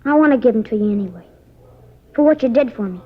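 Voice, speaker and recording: High-voiced earnest American boy. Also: expressive. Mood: earnest, shy. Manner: earnest, halting. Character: polite, earnest, awkward juvenile boy, eager to please. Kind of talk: 1950s radio drama acting. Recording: muffled 1950s radio recording.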